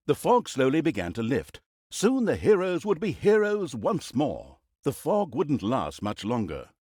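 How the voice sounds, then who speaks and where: Theatrical, wildly swooping sardonic game storyteller. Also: male voice, on the high side, a little mellow, no room sound, studio quality, full character voice. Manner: dramatic, tongue-in-cheek, arch delivery. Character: sardonic, theatrical male storyteller, mock-grand, easily exasperated. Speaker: video game narrator. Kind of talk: scripted storybook narration of a comic fantasy game.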